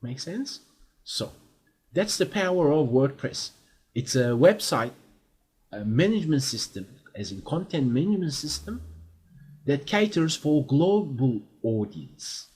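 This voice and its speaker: A man talking through a screen-recorded software tutorial as an instructor, in an explanatory way with fillers and quick checks for understanding. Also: a little high, big pitch swings, breathy edge.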